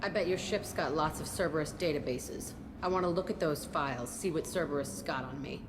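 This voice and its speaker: A woman's voice: low-pitched, brisk, background noise, close to an everyday voice. An actress playing a hostile, distrustful young female convict with a blunt, taunting, sarcastic manner in a video game. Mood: hostile, suspicious.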